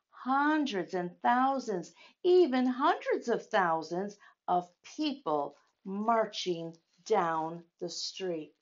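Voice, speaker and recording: A woman hosts a children's storytime at an unhurried, very slow pace. She speaks straight to her young listeners, asking them questions and leaning on key phrases. Her pitch is average for a woman, and the recording sounds a little dull and lo-fi. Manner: addresses children directly, with questions and emphatic phrasing. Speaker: children's storytime host at a church.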